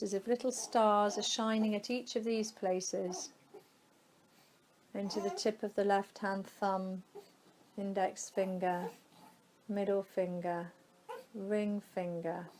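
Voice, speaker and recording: A woman guiding a yoga nidra relaxation meditation in a soothing, unhurried manner, leaving long pauses between phrases. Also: mid-range voice, a little mellow, a little hiss or hum.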